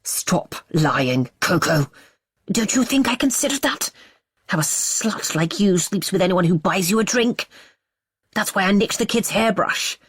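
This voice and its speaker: A man's voice: high-pitched, animated, measured pace, husky, no echo, clean studio sound, full character voice. A woman voicing a furious, growling abusive man. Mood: cold menace. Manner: growling, strangled rage, whispered menace.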